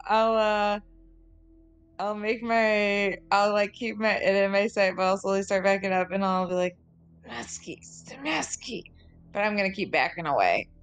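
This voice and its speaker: A woman's voice: mid-range voice, moderate pace, clear, resonant tone. A woman casually saying what her character does in a tabletop roleplaying game, hesitantly piecing it together.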